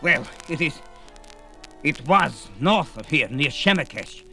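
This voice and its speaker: Old wizard, bright piercing tone, very animated, indignant, dithering, theatrical, fantasy audio play. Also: male voice, a little high, slow, gravelly, soft background music, exaggerated voice. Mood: flustered, anxious. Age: older. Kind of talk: 1970s fantasy audio drama acting.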